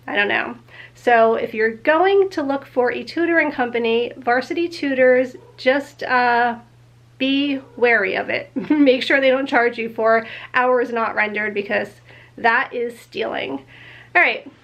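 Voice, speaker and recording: A middle-aged woman vlogging casually at home with a nasal, congested voice and a sore throat, chatty and quick to laugh. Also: fairly high pitch, gravelly, a bit bright, highs cut off. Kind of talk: casual chat to camera.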